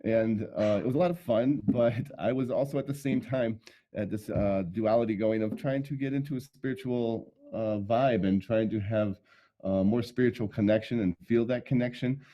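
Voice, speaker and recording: A middle-aged man from Michigan, telling his life story as an interview guest in a relaxed way, with many ums and laughs. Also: fairly low pitch, normal speed, dull audio. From Michigan, USA. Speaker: guest, sound healer.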